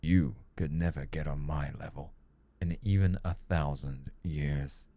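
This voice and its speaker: A man's voice: rumbling low, steady tone, measured pace, dark tone, no room sound, full character voice. A man playing a condescending, melodramatic yandere rival sibling in a comedic audio roleplay, with pompous, theatrical delivery. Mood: scornful, devoted.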